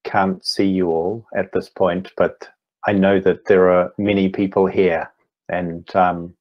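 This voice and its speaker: A man in his fifties with a New Zealand accent, giving an online talk as a meditation teacher, speaking slowly and deliberately with gentle pauses. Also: sits low, level tone, highs cut off. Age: 50s. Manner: slow, deliberate, gently pausing. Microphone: video call.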